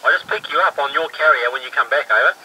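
A man's voice: mid-range voice, fast talker. A man, an amateur radio operator, chatting casually over a two-way radio. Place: radio link, heard outdoors. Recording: noisy FM radio through outdoor speaker.

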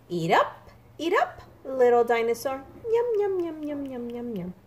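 High, very animated woman reading a picture book. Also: a little hiss or hum. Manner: animated, emphatic, sing-song with repeated words for small children. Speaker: read-aloud storyteller for young children. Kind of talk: expressive picture-book read-aloud.

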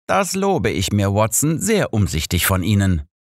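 A man voicing a brisk, impatient, probing elderly detective in an audiobook. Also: fairly low pitch, wildly swooping pitch, no room sound, studio quality, voice pushed up, exaggerated voice.

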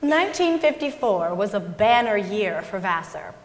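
A young woman giving a measured, expressive dramatic reading of a memoir on stage. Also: medium pitch. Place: large indoor concert hall stage. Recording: echoey concert hall stage recording.

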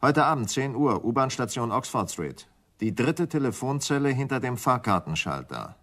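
An actor playing a polite, suave, insinuating schemer with a shady offer in a German radio crime drama. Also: male voice, mid-range voice, big pitch swings, moderate pace, fairly bright tone. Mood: suave, persuasive. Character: smooth, furtive scheming gentleman with a shady offer.

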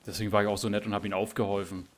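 A man's voice: on the low side, flat delivery, racing. A man chatting casually while playing a survival game, teasing himself and his teammate with frequent tag questions. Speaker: gamer streamer. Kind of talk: casual gameplay chat.

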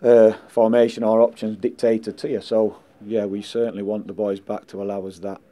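A middle-aged man and football manager answering post-match questions indoors, measured and blunt, often saying "listen" and "um" into handheld mics. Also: lowish voice, completely flat delivery, warm tone. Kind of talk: post-match press interview. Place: indoors in front of a sponsor board. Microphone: handheld mics held close.

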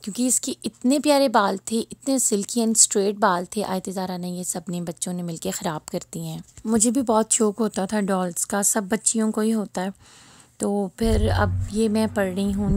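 A woman's voice: mid-range voice, steady tone, normal speed, darkish tone, no echo. A woman in her thirties with a Pakistani Urdu accent, casually narrating her daily routine as a mother in an easygoing, rambling way, with a slightly sore throat. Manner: easygoing, chatty, rambling with fillers. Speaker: vlogger and mother.

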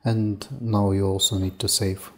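Slow, flat-voiced man walking through software. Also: deep voice. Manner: unhurried, stepwise, with frequent "uh" and "um". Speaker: software demo presenter. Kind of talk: screen-recorded software tutorial.

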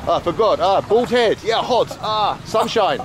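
A man's voice: high-pitched, animated, a little slow. Chatty man vlogging outdoors by a road. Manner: chatty, jokey, talks to camera and to locals in simple English. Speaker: travel vlogger. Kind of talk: casual travel vlog narration. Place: open-sided roadside barber hut, then a sunny street outdoors. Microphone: handheld action camera at arm's length. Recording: outdoor street noise behind.

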